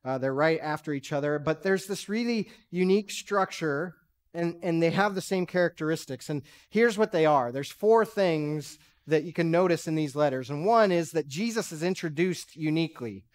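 A man in his thirties preaches from a church stage, his voice sitting a bit high. He talks in a relaxed, conversational way, posing questions to the congregation. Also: normal speed. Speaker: pastor. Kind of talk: sermon. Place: large church sanctuary stage.

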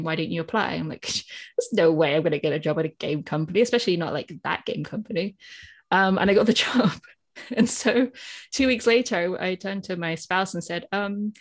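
A woman's voice: lowish voice, gravelly. A British woman in her thirties, a translator on a video call panel, talking casually and laughing at herself. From Britain. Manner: chatty, self-deprecating, laughs often. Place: home office lined with bookshelves. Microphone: headphones with a desk mic, video call.

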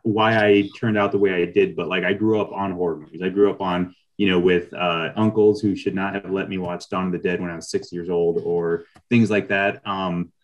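Male writer guest, middle-aged, lowish voice, informal video-call conversation. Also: level tone, normal speed, hardly any pauses, dull audio. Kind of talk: casual panel conversation. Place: video call with virtual background.

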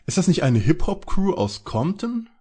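A man's voice: high voice, sing-song, moderate pace, warm tone, husky, heavily acted. An actor playing a cocky, slightly dim boy detective, breezy and boastful, in a parody audio drama.

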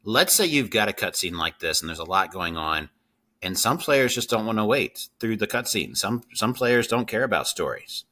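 A man's voice: on the low side, big pitch swings, normal speed, pushed and bright. A middle-aged man hosting a casual screen-share coding tutorial. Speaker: tutorial host.

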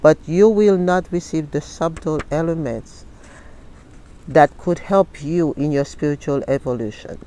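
A woman in her sixties speaking in an earnest, deliberate and sometimes halting way, recorded outdoors. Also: deep voice, mellow. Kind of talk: personal testimonial and reading aloud from a book. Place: outdoors in a leafy garden.

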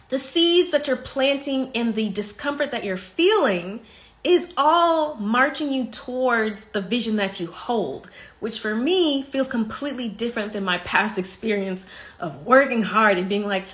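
Lively American woman on a phone live stream. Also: on the high side. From United States. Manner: animated, expressive, talks with her hands. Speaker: podcast host on a live stream. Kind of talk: casual live-stream chat. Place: bright living room indoors. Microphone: phone held close.

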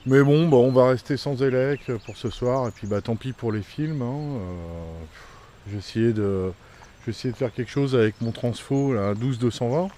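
A man's voice: mid-range voice, moderate pace, warm tone, a little hiss or hum. A man in his forties vlogging casually about a family camper van trip, rambling with many fillers. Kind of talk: casual vlog chat. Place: inside a camper van while driving, then outdoors in a forest clearing. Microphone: action camera on the dashboard or held at arm's length.

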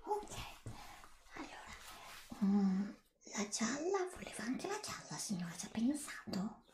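A woman in middle age does an ASMR shop roleplay, mostly in a breathy whisper. She takes her time, politely and attentively reassuring her customer as a sales assistant would. The room adds some echo around her voice. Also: on the high side, very animated, takes it slowly.

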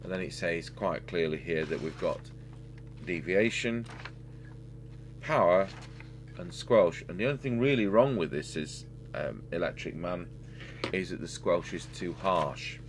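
A middle-aged man giving a casual, halting repair demonstration, thinking aloud as a technician at work. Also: low voice. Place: indoor workbench. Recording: steady hum and background noise.

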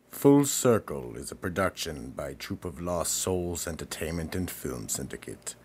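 A man delivering scripted narration for a radio mystery in a measured, grave manner. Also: rumbling low, vocal fry, a little hiss or hum.

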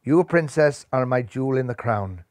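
A man's voice: medium pitch, expressive, moderate pace, no room sound, pitched below the performer's own voice, close to an everyday voice. Man reading as brave little animal hero. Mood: tender.

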